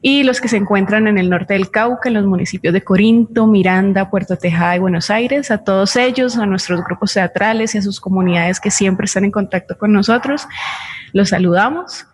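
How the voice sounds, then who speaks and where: A woman's voice: mid-range voice, moderate pace, a little hiss or hum. Woman, Colombian accent, mid-range voice, live co-host, greetings and listener message read-outs. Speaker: co-host handling audience messages.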